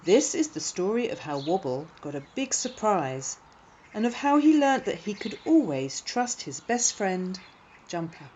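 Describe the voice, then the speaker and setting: Mellow, expressive woman storyteller. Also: mid-range voice, a little slow, a little hiss or hum. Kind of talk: scripted children's story narration.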